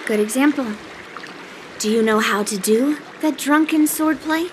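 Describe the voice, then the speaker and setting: High-voiced, earnest young sword instructor. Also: female voice, measured pace, slight background noise. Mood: earnest, instructive. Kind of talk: anime-style video game voice acting.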